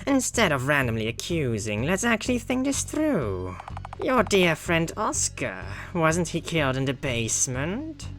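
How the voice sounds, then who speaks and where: A determined young accuser from a visual novel, read by a man, argues with dramatic conviction. The pitch swings widely, and light music plays underneath. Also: wildly swooping pitch, measured pace, a bit bright, full character voice. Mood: measured challenge.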